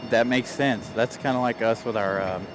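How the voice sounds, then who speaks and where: A man hosting a livestream, chatting in a relaxed way and asking his guest questions. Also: medium pitch, moderate pace, background noise. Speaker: livestream host. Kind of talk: casual livestream chat.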